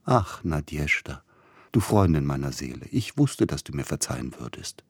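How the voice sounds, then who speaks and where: A man's voice: very deep voice, sing-song, normal speed, breathy edge, no room sound, clean studio sound, heavily acted. A man reading the part of a dying composer in a fever, agitated and exclamatory, swinging between accusation and tenderness, in an audiobook. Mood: tearful, tender.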